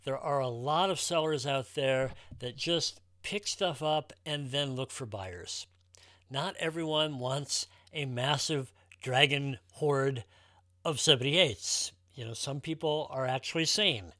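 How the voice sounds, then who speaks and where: A man's voice: mid-range voice, slow, sharp tone, no echo. Enthusiastic man presenting, dry close sound. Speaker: record collector presenting a show. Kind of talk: casual presentation to viewers.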